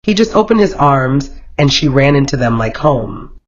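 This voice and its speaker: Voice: mid-range voice, sing-song, moderate pace. Dull-sounding dramatic folktale storyteller. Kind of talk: scripted narration of a romantic folktale.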